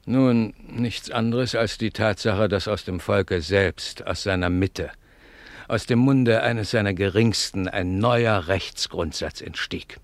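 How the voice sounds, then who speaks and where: An actor playing a pompous, self-righteous lawyer in a 1950s German radio play, declaiming and lecturing with rhetorical flourish. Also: male voice, lowish voice, slow, dry recording. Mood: grand, declamatory. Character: pompous, self-righteous male lawyer, preaching high principle. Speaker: advocate character narrating and arguing.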